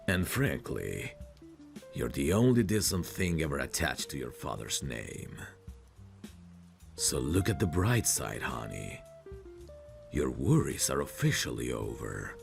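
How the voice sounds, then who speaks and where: Man acting a very deep, gravelly mafia boss. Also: full character voice. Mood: reassuring, persuasive. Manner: slow, deliberate, self-assured, coaxing with pet names. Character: possessive, protective mafia boss, smooth-talking and menacing. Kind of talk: audio roleplay, intimate monologue to a listener.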